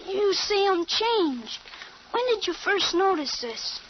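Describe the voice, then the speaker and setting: A woman's voice: extremely high pitch, big pitch swings, slow, full character voice. A woman playing a precise thirteen-year-old American boy with a grown-up mind in an old radio drama, speaking in an articulate, matter-of-fact way. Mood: self-assured. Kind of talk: 1940s-50s radio drama acting. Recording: muffled, noisy old radio recording.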